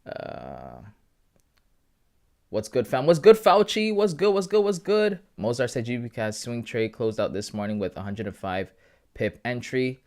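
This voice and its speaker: A man's voice: medium pitch, very animated, a little slow, creaky, a little mellow. A man in his thirties chatting casually with viewers on a live trading stream, slangy and laughing often. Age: 30s. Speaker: livestreaming forex trader.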